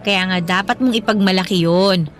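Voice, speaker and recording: A woman playing a caring, supportive young wife in a radio drama, speaking gently and conversationally. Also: medium pitch, moderate pace, close to an everyday voice. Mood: gentle, reassuring. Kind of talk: Tagalog radio drama dialogue.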